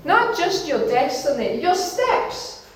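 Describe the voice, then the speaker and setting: A woman's voice: sits high, sing-song, takes it slowly. A woman from Denmark preaching a sermon as a pastor, earnest and encouraging, in short emphatic phrases. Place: church hall. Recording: very echoey church hall.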